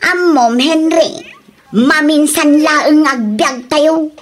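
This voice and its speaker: A high, girlish-pitched voice of a spendthrift wife in an Ilocano radio drama. She is stubborn and defensive, exclaiming and whining in an Ilocano accent. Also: very slow. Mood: breezy, insistent. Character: spendthrift wife who likes expensive things, stubborn, defensive.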